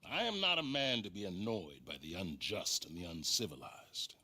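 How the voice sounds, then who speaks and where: A man's voice: deep voice, lively intonation, moderate pace, sharp tone, husky, exaggerated voice. A man playing a stern, menacing frontier lawman in a game cutscene, talking in a grandiose, theatrical way. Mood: grim, grandiose. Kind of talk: dramatic game cutscene monologue.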